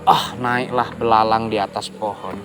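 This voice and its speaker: A storyteller telling a folk tale to children, animated and often addressing the young listeners directly. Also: medium pitch, unhurried, noisy background.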